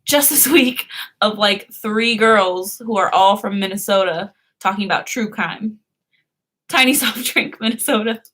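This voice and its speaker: A woman's voice: medium pitch, a little slow, a bit bright. Giggly young woman chatting on a live stream. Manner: chatty, giggly, answers viewers' comments. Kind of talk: casual live stream chat.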